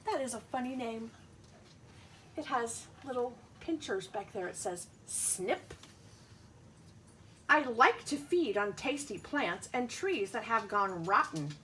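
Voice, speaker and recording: A woman with a bright, slightly high voice reads to young children at an unhurried pace, as a teacher would. She adds simple asides and questions for them. There is clear background noise in the recording. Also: lively intonation, rough recording. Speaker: schoolteacher reading aloud to pupils. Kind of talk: picture-book read-aloud with asides to children.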